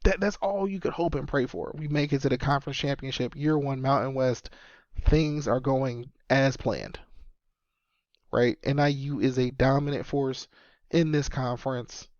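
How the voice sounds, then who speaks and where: A man's voice: medium pitch, moderate pace, a little mellow, no echo, dull audio. A man in his thirties hosting a casual solo sports podcast, talking emphatically and repeating his points for stress. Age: 30s. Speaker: podcast host and sports fan.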